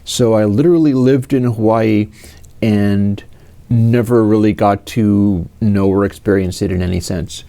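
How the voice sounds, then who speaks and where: A man's voice: lowish voice, steady tone, a little slow, dark tone. Rambling man in his fifties. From United States. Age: 50s. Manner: rambling, digressive, with many fillers and restarts. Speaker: host answering viewer questions. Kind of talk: casual rambling livestream Q&A.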